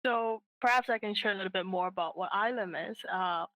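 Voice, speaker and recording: A woman's voice: mid-range voice, quick pace, dull audio, a little hiss or hum. Woman cofounder chatting about her project, earnest, lots of uhs. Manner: earnest, frequent fillers and restarts. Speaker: app co-founder, guest. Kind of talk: casual interview answers.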